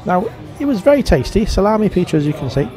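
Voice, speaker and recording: A man's voice: high voice, big pitch swings, fast talker, music in the background. A man giving a casual voice-over review as a vlogger.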